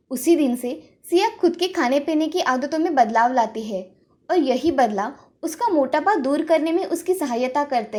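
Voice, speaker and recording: A woman's voice: high-pitched, darkish tone. A woman storyteller reading scripted narration for a moral tale.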